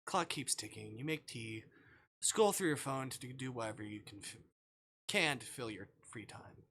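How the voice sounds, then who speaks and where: An expressive male streamer playing through a visual novel, with very lively pitch and a sharp, bright voice. He reads the text aloud and reacts casually, with plenty of swearing and exclaiming. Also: normal speed, creaky, slight background noise. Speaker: live streamer.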